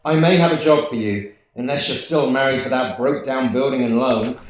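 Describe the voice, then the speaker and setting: A man's voice: mid-range voice, fairly quick, natural voice. A man playing a brash, hearty young American businessman in a 1940s-style radio play. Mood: brash, excited. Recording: very echoey hall, distant mic.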